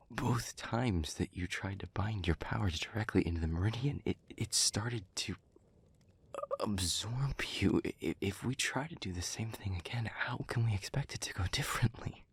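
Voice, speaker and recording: A man playing an anguished, protective demon pleading with a former lover in an intimate audio roleplay, husky and breathy, halting and stammering in his earnestness. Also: fairly low pitch, exaggerated voice. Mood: worried, disbelieving.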